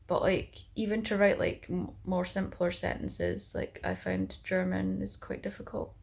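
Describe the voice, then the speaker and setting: Gravelly, husky young woman vlogging. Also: lowish voice, very animated, measured pace, darkish tone. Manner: rambling, full of "like" and "um". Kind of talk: casual chat to camera.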